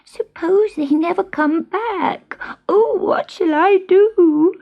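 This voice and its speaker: A woman's voice: very high voice, slow pace, vocal fry, dull audio, voice pushed up, full character voice. A woman voicing a small, timid, good-hearted young male kitten in a children's storybook, earnest and plaintive. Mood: frightened, pleading.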